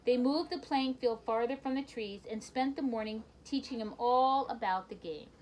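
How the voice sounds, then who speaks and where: A woman reading a children's picture book aloud in an expressive storytelling manner, with brief asides to her listeners. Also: fairly high pitch, normal speed, a little hiss or hum, rough recording.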